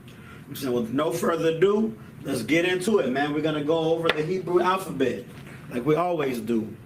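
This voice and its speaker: A man with a slightly high voice leads a relaxed language lesson, dropping "man" and "you know what I'm saying" into his sentences. He sounds set back from the mic in an echoing room, with a faint hiss underneath. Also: a little fast, distant mic. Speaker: Hebrew language teacher. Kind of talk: casual classroom lesson.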